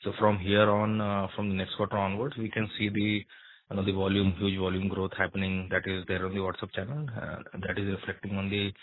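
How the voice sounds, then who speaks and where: A man with a low, fairly even voice puts analyst questions in a halting way, padded with "you know". He comes through a thin telephone line. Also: dry recording. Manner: hesitant, heavy "you know" filler, broken phrasing. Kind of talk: halting earnings call questioning. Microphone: phone line. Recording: thin phone-like narrow band line.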